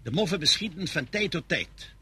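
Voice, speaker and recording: Bright-voiced dutiful army lieutenant. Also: male voice, a little high, expressive, moderate pace, exaggerated voice. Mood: dutiful, alert. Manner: crisp military reporting. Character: dutiful male lieutenant, front-line guide. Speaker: actor in a radio play. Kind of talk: radio drama acting. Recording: slightly noisy old radio recording.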